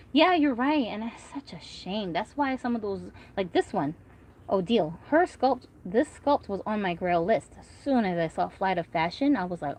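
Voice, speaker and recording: A woman's voice: medium pitch, poor audio. Chatty woman vlogger, rambling and friendly. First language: Korean. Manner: chatty, rambling, frequent fillers. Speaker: doll collector vlogger. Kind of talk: casual livestream chat reading comments.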